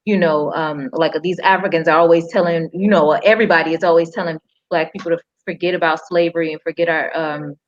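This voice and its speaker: An American woman talks as a panelist in a somewhat low voice. Her phrasing is casual and hesitant, and the audio sounds dull and lo-fi. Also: steady tone, brisk, hardly any pauses.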